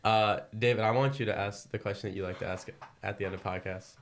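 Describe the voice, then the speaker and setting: A man hosting a podcast, chatting in a quick, easygoing and encouraging way. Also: on the low side, steady tone. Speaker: podcast host. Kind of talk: casual podcast chat.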